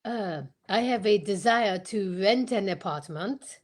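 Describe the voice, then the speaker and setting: A woman playing a fussy, formal male Belgian detective in an amateur radio play, speaking haltingly with French words sprinkled in. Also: medium pitch, expressive, voice pushed down. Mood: polite, insistent.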